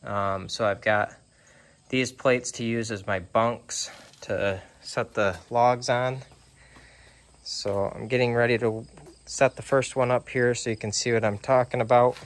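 A man casually walking through his trailer build outdoors, talking slowly with lots of ums and uhs. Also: medium pitch. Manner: slow, relaxed, many ums and uhs. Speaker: DIY sawmill builder. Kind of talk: casual workshop walkthrough.

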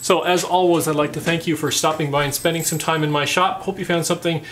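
A middle-aged man from Canada hosting a woodworking channel, explaining a small craft project in a relaxed, chatty way with plenty of "uh"s and "um"s, in his home workshop. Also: fairly high pitch, fast talker, a little hiss or hum. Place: home woodworking shop, indoors.

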